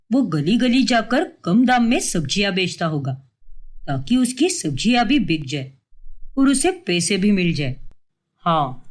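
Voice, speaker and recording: A woman's voice: mid-range voice, darkish tone. A woman voicing a grumbling, scheming husband in a cartoon story, complaining in a matey way. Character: grumbling, scheming husbands fed up with home cooking.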